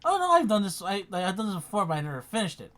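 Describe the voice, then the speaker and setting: Male gamer, casual and sweary, distracted, with lively swings in pitch. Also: very fast. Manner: sweary, repeats phrases, distracted. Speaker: player on a video game stream. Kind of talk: casual chat while gaming.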